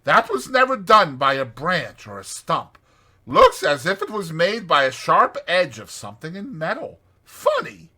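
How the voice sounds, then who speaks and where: A man voicing a brisk, bossy, resourceful rat in an emphatic, exclaiming way for a dramatized audiobook. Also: fairly high pitch, huge pitch swings, sharp tone, voice pushed up, heavily acted. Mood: kindly, attentive.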